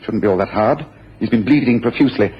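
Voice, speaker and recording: A man playing a brisk, observant, commanding gentleman detective in a radio drama, speaking in an analytical, precise way. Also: fairly low pitch, breathy edge. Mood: brisk, urgent. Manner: analytical, precise, deliberate, authoritative. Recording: muffled, faintly noisy radio drama recording.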